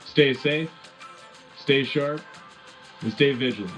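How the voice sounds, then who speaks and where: An actor playing a gung-ho radio news host in a sci-fi war, delivering scripted bulletins in an urgent, folksy, rallying way. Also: male voice, medium pitch, slow pace, fairly bright tone, poor audio. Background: music.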